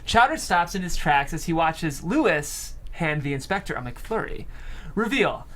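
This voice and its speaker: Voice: medium pitch, expressive, moderate pace, fairly bright tone. A person reading stage directions aloud at a screenplay table read.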